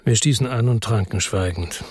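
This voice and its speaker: A man's voice: on the low side, moderate pace, breathy edge, no echo. An actor playing a weary, wary male investigator who tells his own story tersely in a noir radio drama. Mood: brooding. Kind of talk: first-person noir radio drama narration and dialogue.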